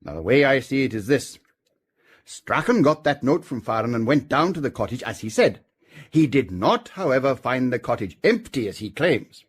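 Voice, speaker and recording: A senior police chief in a detective radio drama. His voice is husky and very varied in pitch, and he sets out his reasoning formally and deliberately, with calm confidence. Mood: explaining, confident.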